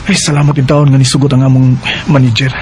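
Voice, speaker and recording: An actor playing a smooth-talking, evasive young two-timer in a radio drama, stalling in a Cebuano accent. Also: male voice, medium pitch, level tone, fast talker, over music, lightly acted. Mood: musing.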